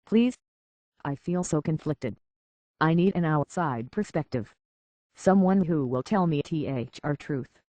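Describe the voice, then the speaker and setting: An actress playing an earnest, conflicted young woman in a video game, speaking hesitantly and with a soft heart. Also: sits low, lively intonation, unhurried, no room sound. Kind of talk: video game character dialogue.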